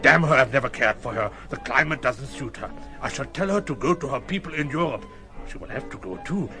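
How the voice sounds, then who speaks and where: A man plays a bullying, self-deluded hotel keeper in an audio drama. He blusters and wheedles with wide swings of pitch, over clear music. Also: expressive, a little fast, natural voice. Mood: blustering. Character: boastful, lecherous middle-aged hotelier, bullying and self-deluded. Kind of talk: radio drama acting.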